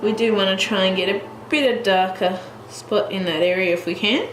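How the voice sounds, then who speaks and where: A woman's voice: mid-range voice, moderate pace. A young Australian woman with a stuffy, congested voice, rambling casually through a colouring tutorial at home, with lots of fillers. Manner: rambling, with many fillers and restarts. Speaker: colouring channel host. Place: at home, in front of a heater. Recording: echoey room with background noise.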